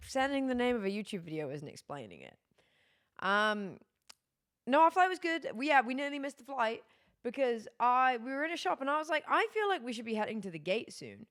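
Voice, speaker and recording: A tired young woman streaming, chatting casually with her chat, her voice going hoarse. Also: a little high, very animated, brisk, fairly bright tone. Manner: rambling, chatty, many fillers.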